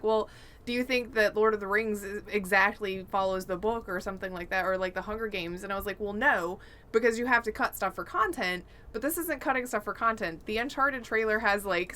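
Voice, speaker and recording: A woman's voice: on the high side, bright, forceful tone. A woman co-hosting a podcast, talking casually and giving opinions in an exasperated, emphatic way. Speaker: podcast co-host.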